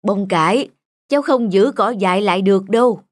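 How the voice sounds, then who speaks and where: A woman's voice: medium pitch, fairly quick, no room sound, clean studio sound, lightly acted. A woman voicing an older garden plant, a stern elder who lectures and admonishes in a children's cartoon. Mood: admonishing. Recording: music behind the voice.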